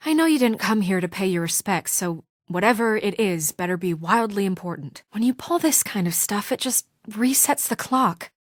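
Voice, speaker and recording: A composed, duty-bound young woman from a video game, voiced by an actress. She speaks in an earnest, controlled way, turning stern when crossed. Her voice sits in a middle pitch, recorded close on a dry studio mic. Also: lively intonation, moderate pace, hardly any pauses, dry recording, lightly acted. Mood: exasperated.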